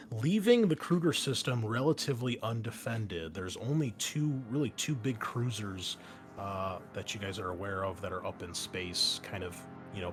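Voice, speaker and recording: Male game master, lowish voice, conversational scene-setting for a tabletop game, faint music underneath. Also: fairly bright tone. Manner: conversational, explanatory, improvised. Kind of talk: narrating a tabletop roleplaying game scenario. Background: background music.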